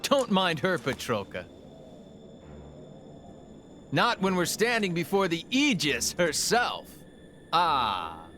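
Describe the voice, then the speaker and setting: A man playing a flamboyant, smooth-talking villain in a game cutscene, with a mocking, flowery, theatrical manner. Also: fairly high pitch, wildly swooping pitch, slow, long pauses, sharp tone, exaggerated voice. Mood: gushing, mocking. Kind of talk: video game cutscene acting. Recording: music behind the voice.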